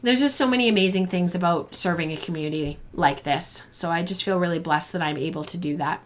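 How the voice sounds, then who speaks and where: A woman hosting a live-streamed fiber arts show, chatting casually with many ums and restarts, her voice going hoarse. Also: on the low side, brisk. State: losing her voice.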